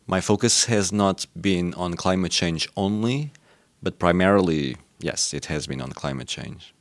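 A man's voice: deep voice, measured pace, no echo. A man, an associate professor, explaining his work in a precise, academic manner as a podcast guest.

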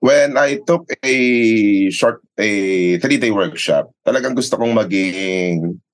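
A man's voice: lowish voice, takes it slowly. A man with a Filipino accent, a sports announcer talking as an interview guest in a conversational, storytelling way, repeating phrases for emphasis. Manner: mixes English and Tagalog, repeats phrases for emphasis.

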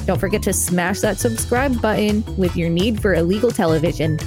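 A woman's voice: medium pitch, over music. Woman co-host reading aloud, wry reactions. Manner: wry, quick reactions between read passages. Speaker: podcast co-host. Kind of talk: reading an article aloud and chatting.

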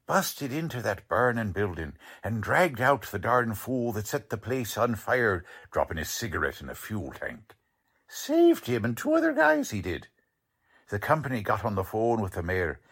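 A man acting as an excitable, good-hearted neighborhood policeman in a 1940s radio drama, slangy and exclamatory. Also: medium pitch, exaggerated voice.

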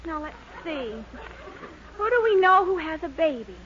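An actress playing an excitable, resourceful young daughter in a 1940s radio sitcom. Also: female voice, very high-pitched, moderate pace, heavily acted. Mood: scheming. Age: young adult. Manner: eager, exclamatory. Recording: muffled, noisy old radio recording, audience.

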